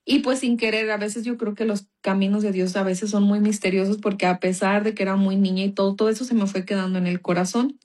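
A woman's voice: medium pitch, steady tone, fairly quick, few pauses, a little mellow. Mexican woman telling a faith testimony. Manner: casual storytelling, many fillers. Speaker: guest giving a testimony.

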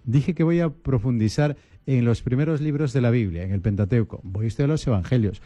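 Priest guy on a radio talk show, super dark mellow voice, calm, dry sound, lists things over and over. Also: mid-range voice, brisk, no echo. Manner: calm, repetitive listing, coaching tone.

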